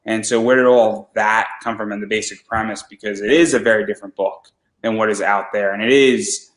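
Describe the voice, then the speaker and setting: A man hosting a casual interview show, enthusiastic and quick to stumble and repeat words. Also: fairly low pitch, fairly even intonation. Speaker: podcast host. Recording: roomy echo.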